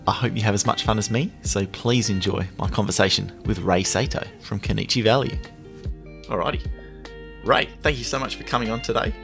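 Male podcast host, slightly low, gravelly voice, casual friendly delivery. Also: a little fast, fairly bright tone, faint music behind. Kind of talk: podcast interview.

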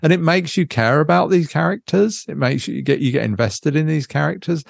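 A man's voice: fairly high pitch, a little fast, vocal fry, no echo, studio quality. A man chatting casually as a podcast host, thinking aloud hesitantly and drifting into asides. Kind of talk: casual unscripted book chat.

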